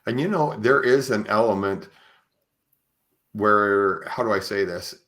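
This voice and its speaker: A man hosting a conversational interview, speaking in a halting way as he searches for his words and shares his own thoughts. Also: lowish voice, fairly bright tone. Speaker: podcast host. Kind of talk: conversational interviewing with personal commentary.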